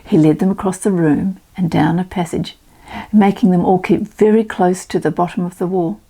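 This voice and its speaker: An older woman reading a children's storybook aloud as a storyteller, expressive and dramatic. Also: fairly low pitch, moderate pace, breathy edge.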